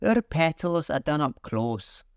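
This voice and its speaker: A man's voice: fairly high pitch, slow, smooth, no room sound, studio quality, pitched above the performer's own voice, full character voice. A man voicing a passionate, bossy female tiger lily in a storybook reading. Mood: imperious. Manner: imperious, excitable.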